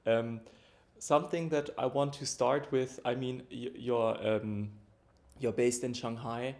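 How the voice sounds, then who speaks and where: A man's voice: medium pitch, fairly even intonation, a little slow, a little mellow. A man interviewing a guest, asking questions in a hesitant, thoughtful way with many fillers. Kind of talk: conversational interview.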